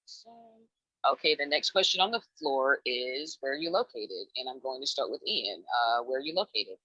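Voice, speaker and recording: A woman's voice: a bass voice, expressive, sharp tone, husky, no room sound, muffled recording. A woman hosting a dating show call-in, chatty and upbeat, cheering each guest on. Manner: upbeat, answers each guest with a quick "awesome". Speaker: host. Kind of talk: casual chat, running a call-in dating show.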